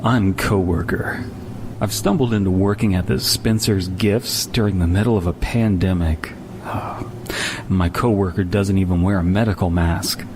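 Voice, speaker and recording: A deep-voiced, awkward male shop worker played by a man gives a smitten inner monologue in a comic sketch. Clear noise and a rumble sit behind him. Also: measured pace. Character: awkward, smitten male gift-shop coworker narrating his thoughts. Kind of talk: scripted parody narration.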